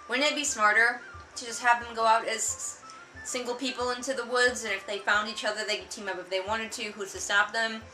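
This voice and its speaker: A woman's voice: on the high side, sharp tone, low-quality recording. A woman giving casual, sarcastic commentary, with an exasperated, mocking and emphatic way of talking. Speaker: book reviewer and vlogger.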